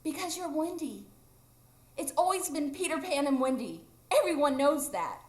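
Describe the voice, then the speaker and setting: A playful, stubborn boy who never grows up, played by a woman, high-pitched and swooping. It is live radio drama acting, heard in a roomy stage recording. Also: animated, normal speed. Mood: matter-of-fact. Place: improv theatre stage, live audience.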